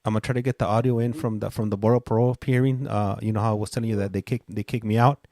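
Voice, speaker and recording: A man's voice: fairly low pitch, steady tone, rapid-fire, darkish tone, no room sound, clean studio sound. A man chatting informally and slangily as host of a live stream. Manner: slangy, addressing listeners and caller informally. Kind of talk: casual live-stream chat.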